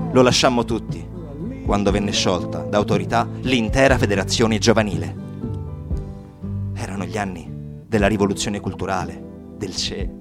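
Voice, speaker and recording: An actor playing an impassioned young Sicilian activist recounting his political life in a solemn, deliberate radio-theatre monologue. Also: medium pitch, natural voice. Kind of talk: dramatic first-person monologue in radio theatre. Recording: acoustic guitar music behind the voice.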